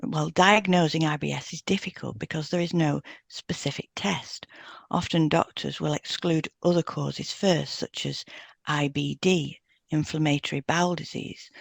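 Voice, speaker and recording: A woman's voice: deep voice, vocal fry, no echo, studio quality. A woman co-hosting a podcast, reading scripted health information in an informative way. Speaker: podcast co-host and hypnotherapist. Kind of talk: scripted educational podcast, read aloud.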